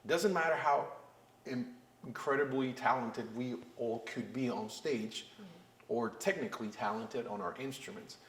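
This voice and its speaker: A man's voice: mid-range voice, normal speed, slight background noise. Costa Rican blues frontman in a roomy space. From Costa Rica. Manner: earnest, reflective storytelling. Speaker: blues band frontman and guitarist. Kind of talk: documentary interview. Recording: roomy echo with faint music.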